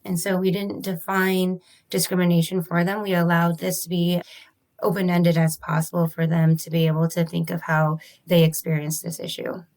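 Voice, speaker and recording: A woman's voice: lowish voice, level tone, moderate pace, darkish tone. A woman physician and researcher, interviewed as a guest, explaining her study in a careful, methodical and formal way. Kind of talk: measured academic explanation.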